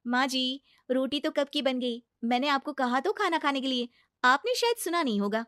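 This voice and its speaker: Woman playing a high-voiced young lawyer daughter-in-law. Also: animated, normal speed, fairly bright tone, clear, resonant tone, no echo, clean studio sound. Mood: polite. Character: young, confident lawyer daughter-in-law, exasperated but polite.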